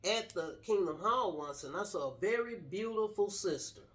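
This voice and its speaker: A woman's voice: medium pitch, animated, normal speed. A middle-aged woman sharing her personal story casually in an earnest, straightforward way. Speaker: guest telling personal story, wife of the host.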